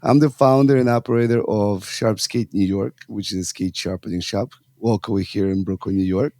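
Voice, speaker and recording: A man's voice: fairly low pitch, brisk, mellow, no echo. A man from Russia, in his thirties, a youth hockey coach talking earnestly in an interview, with many fillers and restarts. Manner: earnest, talks in long runs with fillers and restarts. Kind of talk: casual interview talk.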